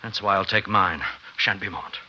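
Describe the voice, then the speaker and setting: An actor playing a worried, stern friend of a scientist, grave and admonishing, in 1950s radio drama style. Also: male voice, low voice, normal speed, highs cut off, over loud music, lightly acted. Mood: grave, uneasy. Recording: noisy 1950s radio recording.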